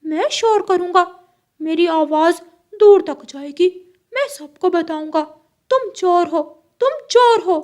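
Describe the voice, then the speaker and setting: Cartoon parrot, male, played by a woman, indignant and accusing, very high-pitched and loud. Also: slow pace. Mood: angry accusing. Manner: shrill, accusing, exclaiming. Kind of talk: Hindi cartoon story voice acting.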